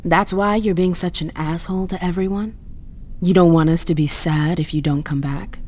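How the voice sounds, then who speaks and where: A woman's voice: fairly low pitch, steady tone, moderate pace, darkish tone, lightly acted. A woman playing a cool, world-weary vampire club owner in a video game, sardonic and self-assured. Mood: wry.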